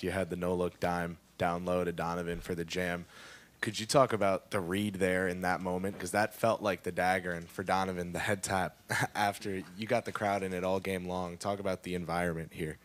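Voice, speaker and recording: Deep-voiced reporter asking a question. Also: male voice, fairly even intonation, fairly quick. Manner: detailed, conversational questioning. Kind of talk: asking a press conference question.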